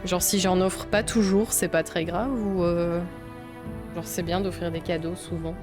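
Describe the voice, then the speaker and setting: Young woman from Belgium, casual let's-play host, slightly low pitch, chatty and loose with swearing, soft game music underneath. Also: steady tone, unhurried. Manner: chatty, swears often, thinks aloud. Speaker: streamer playing a video game. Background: faint game music.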